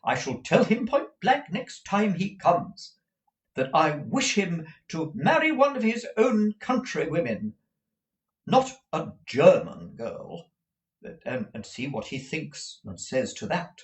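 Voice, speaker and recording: A man's voice: fairly high pitch, wildly swooping pitch, measured pace, voice pushed up. A man voicing a fussy, gushing middle-aged mother from a storybook, emphatic, exclamatory and rambling.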